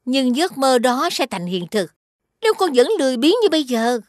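A high, close-sounding cartoon mom with a Southern Vietnamese accent. She is caring yet firm, explaining and admonishing in an earnest, instructive way. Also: big pitch swings, moderate pace, a little mellow, no echo. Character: Kem's caring, firm, lecturing cartoon mother. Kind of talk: animated children's cartoon dubbing.

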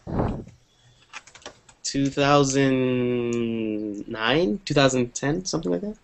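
A man's voice: mid-range voice, slow pace, creaky, background noise. A young man teaching an online English class on a video call, upbeat and encouraging, often saying cool. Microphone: earphone mic on a video call.

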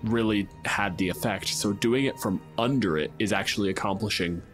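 A man's voice: fairly low pitch, moderate pace, faint music behind. A man acting as game master, casually narrating a tabletop game with a sweary, teasing, informal manner.

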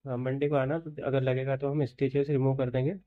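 A man, a doctor, asking a patient plain, quick questions in a casual bedside manner in a clinic room. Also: medium pitch, little pitch movement, narrow, tinny audio.

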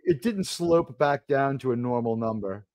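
A man hosting a casual online watch party, loud and joking, cursing freely as he talks, on a desk mic at home. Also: medium pitch, lively intonation, normal speed, crowd noise. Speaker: streamer host.

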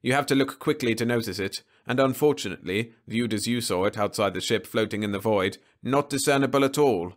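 A man voicing a smug, cold alien male invader in an audiobook, speaking with precise, measured menace. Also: mid-range voice, voice pushed up, natural voice. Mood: cold triumph. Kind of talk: audiobook character voice.

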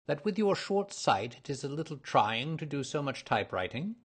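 A man reading the part of a cool, precise detective who explains his deductions in a measured way. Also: on the high side, very animated, breathy edge, pitched above the performer's own voice. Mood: questioning. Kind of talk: audiobook character reading.

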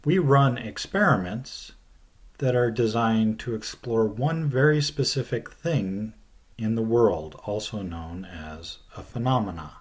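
Slow, deliberate male teacher. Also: lowish voice. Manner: slow, deliberate explaining with falling phrase ends. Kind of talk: recorded video lecture. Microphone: webcam at desk.

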